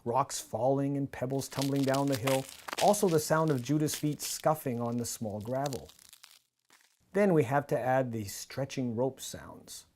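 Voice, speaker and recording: A man in his fifties with a North American accent presents a scripted behind-the-scenes explainer in a measured, clear manner. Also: medium pitch, background noise. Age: 50s. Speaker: radio drama director and presenter. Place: small indoor studio office with monitors. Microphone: lavalier on shirt.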